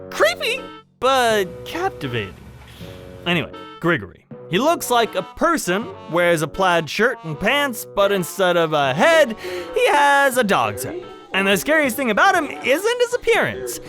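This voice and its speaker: A host narrating a casual comedic explainer in a sarcastic, mock-dramatic way, swearing freely. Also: medium pitch. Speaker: video host. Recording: background music under the voice. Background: music.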